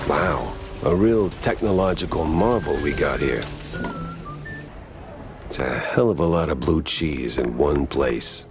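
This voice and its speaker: A man's voice: a bass voice, a little slow. A man voicing a world-weary, wisecracking mouse private detective in a noir cartoon game, dry and laconic. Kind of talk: 1930s noir cartoon acting. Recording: muffled, with loud guitar music behind.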